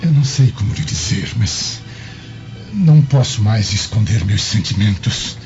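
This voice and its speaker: An actor playing a courtly, lovestruck Roman governor in a radio drama, speaking ornately and theatrically. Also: male voice, fairly low pitch, animated, a little slow, a little mellow. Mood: pleading, ardent. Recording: dull lo-fi audio with music.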